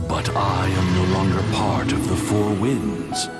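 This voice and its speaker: An actor playing a great weary dragon in a game cutscene, noble, grave and formal. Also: male voice, sits low, measured pace. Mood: solemn, humbled. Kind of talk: dramatic game cutscene acting. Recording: loud music behind the voice.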